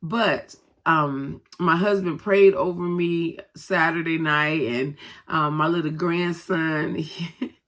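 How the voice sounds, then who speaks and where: A woman's voice: low voice, steady tone, takes it slowly, fairly bright tone. Stuffy-nosed woman vlogging to her viewers. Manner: conversational, many "um"s, talks straight to viewers. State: stuffy nose from a head cold. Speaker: vlogger and co-pastor. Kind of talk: casual personal vlog talk.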